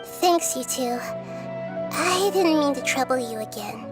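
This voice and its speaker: A woman voicing a small, gentle, wise child goddess in a video game, speaking softly and thoughtfully. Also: sits high, expressive, measured pace, loud music behind the voice. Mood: grateful. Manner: soft-spoken, thoughtful, articulate. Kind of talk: video game character acting.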